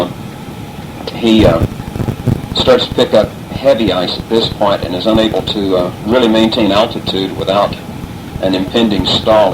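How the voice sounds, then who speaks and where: American guy, lowish voice, controller talking thru what happened, kinda halting, lots of tape noise. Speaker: air traffic controller. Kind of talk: informal spoken recap of an incident. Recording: clearly noisy tape recording.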